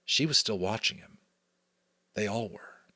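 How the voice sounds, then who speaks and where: A middle-aged American man reading a novel aloud theatrically, with hushed asides and casual commentary. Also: lowish voice, unhurried, breathy edge, no room sound. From United States. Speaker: audiobook reader and host.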